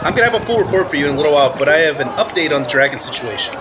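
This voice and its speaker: A man's voice: on the high side, animated, racing, lightly acted. A man playing an earnest war correspondent reporting in a mock live news radio play. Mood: urgent. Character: earnest male embedded war correspondent in an army camp. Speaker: guest host. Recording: loud wind and outdoor noise.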